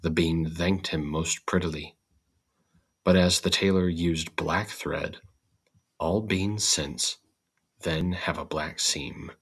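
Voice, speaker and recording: A man reading a scripted storybook tale as host and narrator, in a measured, deliberate manner. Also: sits low, fairly even intonation, a bit bright, slightly rough.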